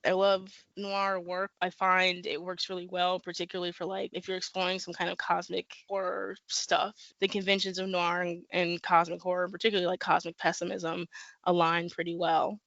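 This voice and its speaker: A woman's voice: on the low side, moderate pace, no room sound, muffled recording. A woman author from the American Midwest, chatting casually in an interview and talking animatedly with lots of fillers. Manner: animated, talks with many fillers and laughs. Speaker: guest author.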